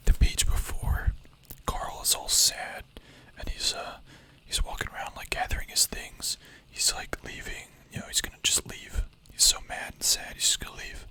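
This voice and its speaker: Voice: moderate pace, few pauses, bright, forceful tone. A young adult streamer whispering ASMR-style, chatting casually with chat, full of filler words and the odd swear.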